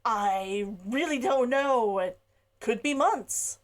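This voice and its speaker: Woman voicing a nervous male shopkeeper. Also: a little high. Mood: hesitant. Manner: halting, full of uh fillers.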